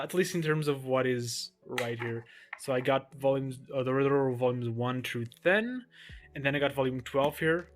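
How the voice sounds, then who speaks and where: A young man from Portugal whose native language is Portuguese, casually showing his manga shelves in a relaxed, rambling way with frequent ums and restarts. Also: fairly high pitch. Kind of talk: casual collection tour vlog.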